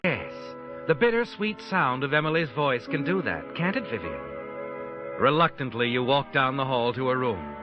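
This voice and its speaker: An actor playing an intense, ominous narrator of a radio suspense tale, delivering dramatic narration on a muffled old recording. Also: male voice, mid-range voice, very animated, moderate pace, over music, close to an everyday voice. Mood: ominous, knowing. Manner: intense, dramatic, addressing the character directly.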